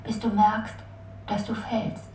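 A woman's voice: medium pitch, monotone, takes it slowly, darkish tone, slight background noise, natural voice. A woman playing a haunting, searching inner voice, speaking oracular lines in a poetic radio play. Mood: searching, incantatory. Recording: roomy, distant voice over faint music.

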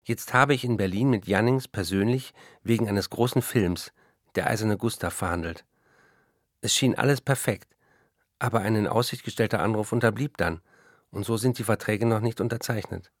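An actor in a radio play voicing an embattled, wry German novelist of the 1930s, reading out letters and diary entries. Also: male voice, on the low side, normal speed, dry recording, studio quality. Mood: hopeful, tense.

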